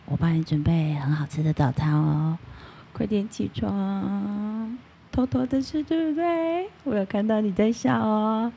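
A woman's voice: mid-range voice, unhurried, creaky, mellow, a little hiss or hum, voice pushed up. A woman playing a tender, teasing girlfriend waking her lazy boyfriend in an intimate ASMR roleplay, partly whispering. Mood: tender, playful coaxing.

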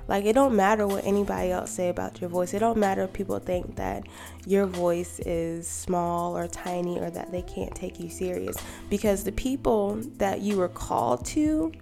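Soft-spoken woman with a gravelly edge. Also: on the low side, normal speed. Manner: calm and gentle. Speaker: podcast co-host. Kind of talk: reflective personal monologue. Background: music.

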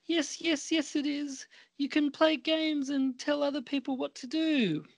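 A woman playing an excitable, pleading little girl in an amateur radio play. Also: high voice, a little slow. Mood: insistent.

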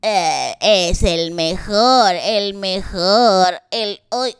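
Greedy, gloating storybook weasel, voiced by a woman; slow and drawn-out. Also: medium pitch, clear, resonant tone, no echo, clean studio sound, voice pushed down. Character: greedy excited male weasel.

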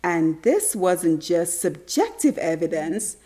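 Talk show host, woman, a bit hoarse and stuffy, animated pitch, talks directly to listeners. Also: slow pace. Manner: upbeat, earnest, speaks straight to listeners. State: congested, slightly raspy from allergies. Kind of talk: motivational talk show monologue.

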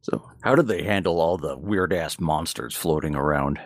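Low-voiced man, casual podcast co-host. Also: normal speed. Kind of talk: casual chat, slangy.

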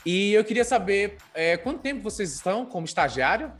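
A man's voice: high-pitched, a little fast, breathy edge, faint music behind. A man hosting a podcast and putting questions to his guests with many fillers. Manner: steady, filler-heavy questioning. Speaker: podcast host. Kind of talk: podcast interview.

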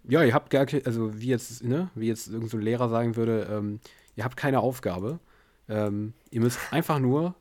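A man hosting a podcast, unwell with a headache, rambling casually with many fillers. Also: darkish tone. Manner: rambling, many fillers, tired and low-key. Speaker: podcast host. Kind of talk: casual chat. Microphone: remote call via bot.

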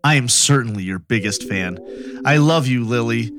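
A man's voice: mid-range voice, lively intonation, normal speed, close to an everyday voice. A man playing a devout, adoring mystic lover in a theatrical dramatic reading, grave and melodramatic. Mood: adoring, fervent. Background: music.

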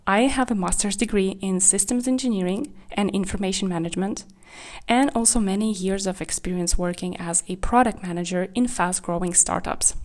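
A woman's voice: mid-range voice, a little fast, darkish tone. Woman from Kazakhstan hosting a solo podcast. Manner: candid, reflective, with small slips of grammar. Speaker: podcast host.

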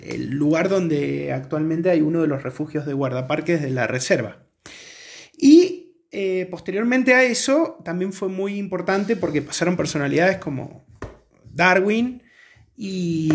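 Higher-voiced Argentine man, halting podcast talk. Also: gravelly. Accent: Argentine, from Mendoza. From Mendoza, Argentina. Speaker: podcast co-host. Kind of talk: casual podcast conversation.

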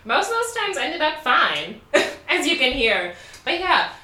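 Young woman from Baltimore, casual podcast co-host, bright voice, recorded off-mic in an echoey room. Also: high-pitched, big pitch swings, unhurried, far from the mic, slight background noise. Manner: candid, laughing, many "like" fillers. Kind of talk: casual storytelling chat.

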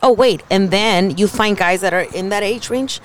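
A woman's voice: mid-range voice, normal speed. Frank woman in her forties. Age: 40s. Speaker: podcast guest. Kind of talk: casual chat.

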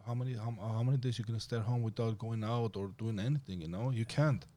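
A man's voice: medium pitch, fast, warm tone, no echo. A man from Armenia, a martial artist guest talking casually in broken English, full of "like" and "bro". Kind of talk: casual conversation.

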